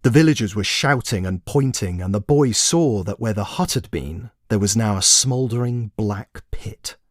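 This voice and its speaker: A man's voice: fairly low pitch, moderate pace, dry recording, studio quality. A man narrating a story expressively, in the manner of a storybook reading. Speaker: author and narrator.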